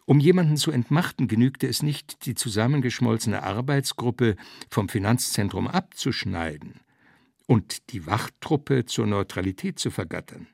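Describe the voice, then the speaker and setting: Husky, creaky male narrator, expressive pitch. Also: moderate pace, no echo, studio quality. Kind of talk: literary audio narration.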